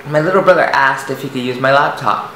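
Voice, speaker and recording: A young man with a light, soft voice, telling a personal story to the camera, hesitant and rambling with many restarts. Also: mid-range voice, a little fast. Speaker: vlogger. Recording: echoey room, faint noise.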